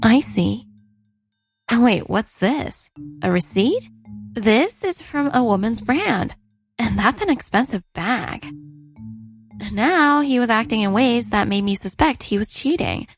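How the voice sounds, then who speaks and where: A woman's voice: on the high side, expressive, slow pace, faint music behind. A woman acting and narrating as a weary but outspoken wife in her thirties in a dubbed story. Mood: doubtful, indignant, then troubled. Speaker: narrator and lead character.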